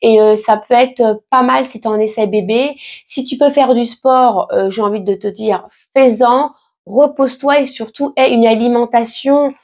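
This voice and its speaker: A woman's voice: on the high side, fairly even intonation, moderate pace, a little mellow. A pregnant woman in her late thirties, chatting casually and reassuringly to her viewers with lots of fillers and restarts. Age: 30s. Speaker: vlogger answering viewer questions. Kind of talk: casual chat.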